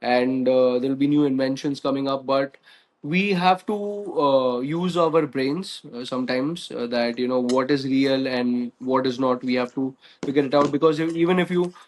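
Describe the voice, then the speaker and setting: A man's voice: medium pitch, steady tone, moderate pace. A man speaking steadily as a panel guest, listing examples. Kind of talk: panel discussion.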